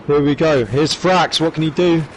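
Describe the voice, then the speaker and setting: A hoarse man calling a competitive shooter match play by play, his voice worn from shouting. He sits a little higher than most men and jumps into quick, eager bursts as the action heats up, with a faint hum of noise behind him. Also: rough recording. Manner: rapid excited calls with rising bursts. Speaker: esports commentator. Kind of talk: play-by-play commentary.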